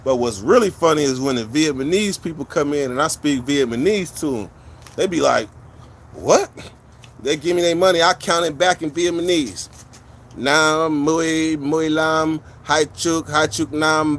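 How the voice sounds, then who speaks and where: A man talks to his stream viewers in a casual, rambling way, full of street slang and cursing. His voice sits a little higher than most men's. A faint hiss of outdoor noise runs under it. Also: a little slow, a bit bright. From California. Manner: blunt, profane street slang, rambling storytelling. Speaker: livestream host. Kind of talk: casual livestream chat with viewers.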